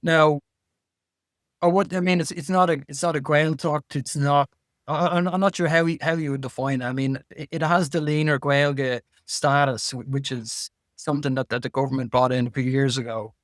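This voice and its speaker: A man, a podcast guest talking casually and haltingly, often repeating words as he thinks. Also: a little high, steady tone, no echo, clean studio sound. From Ireland. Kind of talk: casual interview chat.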